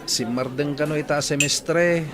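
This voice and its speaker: A man's voice: fairly high pitch, steady tone, measured pace, background noise, close to an everyday voice. A man playing an earnest, respectful young working student in a radio drama. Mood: matter-of-fact.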